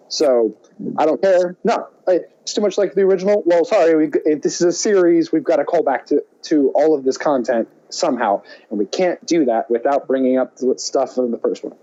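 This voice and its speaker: A dull, lo-fi recording of a man with a mellow, slightly high voice. He chats casually as a podcast co-host, rambling through his opinions with excitable energy. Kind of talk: casual movie chat. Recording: dull, muffled lo-fi sound.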